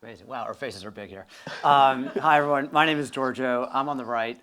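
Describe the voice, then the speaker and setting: A man's voice: medium pitch, fairly quick, fairly bright tone, smooth. A man who grew up in northern Italy giving a conference talk, telling a personal story fluently and earnestly. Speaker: conference speaker. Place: conference stage before an audience.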